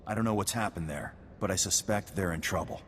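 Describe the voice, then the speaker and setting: A grave, earnest officer of a space-age army speaks in a low register. It is video game dialogue, set in a reverberant hall with a layer of noisy ambience. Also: male voice, a little fast, a bit bright. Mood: surprised, grateful. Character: earnest, grave Republic military commander at an ice outpost.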